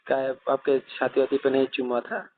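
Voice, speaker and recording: A man's voice: medium pitch, monotone, normal speed. A man asking probing, insistent questions in a casual private phone conversation. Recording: dull lo-fi phone recording.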